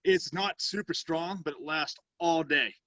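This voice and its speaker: A man's voice: on the high side, a little slow, fairly bright tone, no room sound. A man from Tennessee, a podcast guest, speaking in an easygoing, laid-back way. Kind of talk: casual chat. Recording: dull, dry, distant call audio.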